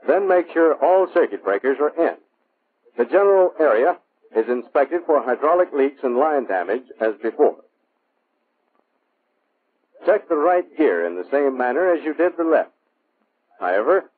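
American man, training film narrator, measured instructive delivery, tinny band-limited old soundtrack, slightly husky. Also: medium pitch. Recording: muffled, phone-like vintage military film soundtrack.

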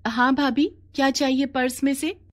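Eager, soft-hearted henna girl for animated moral story, female performer; high voice. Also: normal speed, close to an everyday voice. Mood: eager, modest. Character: eager, tender-hearted young daughter who paints henna.

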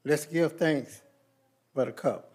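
A man's voice: fairly high pitch, darkish tone, husky. Man leading prayer in a church. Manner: slow, measured, reverent prayer cadence. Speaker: church leader at the Lord's Supper. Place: large church sanctuary.

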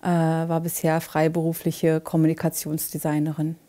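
A woman in her forties speaking in a recorded interview, measured and halting, with frequent fillers. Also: low-pitched, completely flat delivery, warm tone. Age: 40s. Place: dim indoor set.

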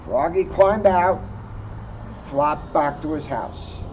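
Middle-aged male story reader: slow pace, fairly high voice, expressive, outdoor camera sound. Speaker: police officer reading to children. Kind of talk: expressive picture-book reading. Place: outdoor pavilion bench in a park. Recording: muffled outdoor recording, faint background noise.

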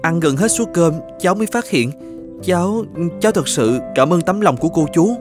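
A man voicing a poor, polite young student in an animated moral tale, hesitant and deferential as he talks. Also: high-pitched, moderate pace, over music. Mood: grateful, earnest.

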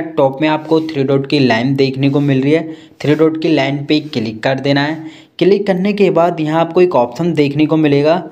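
A man with a somewhat high voice presents a tech tutorial. He walks through each step in an instructional, repetitive way, as a voice-over host. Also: a little mellow.

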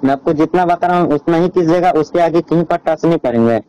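A man with a fairly high-pitched voice speaks on a recorded phone call. He gives instructions one step at a time, insistently and directively, often repeating them. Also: fast talker, dark tone, smooth. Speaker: phone caller.

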